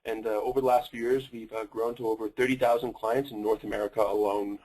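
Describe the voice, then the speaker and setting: A man's voice: fairly low pitch, level tone, quick pace, a little mellow, poor audio. A man with a Canadian accent presenting a company in a webinar, talking with many fillers and restarts.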